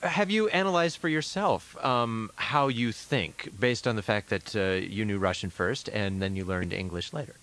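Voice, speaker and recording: A male interviewer with a somewhat low voice asks long, searching questions. He often stops to repeat words while he works out what he wants to ask. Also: moderate pace, hardly any pauses, a bit bright.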